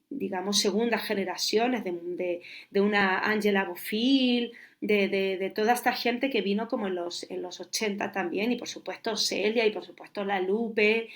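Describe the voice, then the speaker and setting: A Chilean curator in her forties, talking in a reflective interview and thinking aloud with many restarts. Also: medium pitch. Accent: Chilean Spanish. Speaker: curator and guest.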